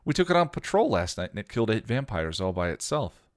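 A man's voice: on the low side, big pitch swings, fast, voice pushed up. A man voicing an eager young woman who explains everything in a rush, in a read-aloud story.

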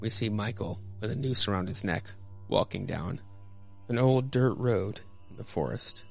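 A man's voice: fairly low pitch, normal speed, gravelly, soft background music. A man narrating a horror comic as a podcast host, with dramatic storytelling.

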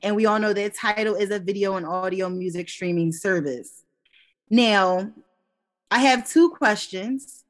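A woman co-hosts a relaxed podcast conversation. She is animated and laughs easily, with bursts of exclamation between stretches of steady explaining. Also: medium pitch, unhurried. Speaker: co-host. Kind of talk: casual podcast chat.

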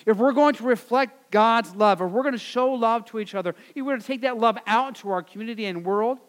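A man's voice: very high voice, quick pace, big-room sound. An American man preaching a sermon as pastor, earnest and repetitive, with many fillers and restarts. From United States.

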